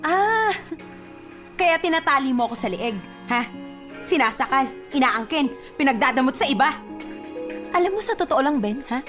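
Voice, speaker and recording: A woman's voice: sits high, animated, moderate pace, long pauses, a bit bright. A woman playing a fed-up, defiant young girlfriend in a radio drama, dismissive and exasperated as she argues. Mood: exasperated, angry. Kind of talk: Filipino radio drama acting. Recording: music bed under the voice.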